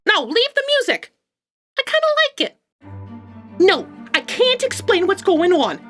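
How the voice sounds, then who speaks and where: A woman voicing an exasperated female alien clerk in a scripted audio comedy, brisk and theatrical. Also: very high-pitched, huge pitch swings, fairly bright tone, faint music behind, full character voice. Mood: brisk, matter-of-fact.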